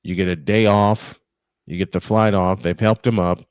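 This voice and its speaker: A man's voice: sits low, steady tone, moderate pace, clean tone, dry recording, rough recording. A man calling baseball play-by-play live, mixing it with casual chat in a folksy, exclamatory way.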